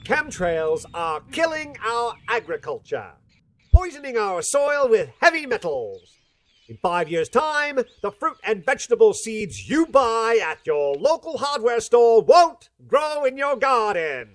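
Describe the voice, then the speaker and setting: An actress playing a grave, alarmist commercial announcer in a radio play, reading a scripted advert in a deliberate, dramatic manner. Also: female voice, a little high, sing-song. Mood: grave warning.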